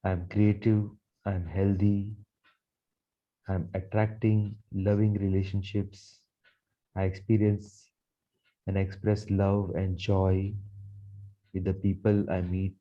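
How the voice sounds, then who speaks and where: A man leading a guided meditation in a soothing, measured manner, repeating phrases as he goes. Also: sits low, level tone, highs cut off. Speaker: guided meditation leader, Reiki teacher.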